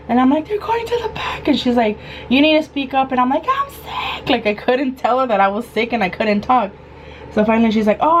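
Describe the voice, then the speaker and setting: A woman in her thirties with a hoarse, sore throat, telling a casual storytime vlog and acting out the quoted lines. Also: mid-range voice, highs cut off, a little hiss or hum. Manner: animated, acts out quoted lines. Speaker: vlogger.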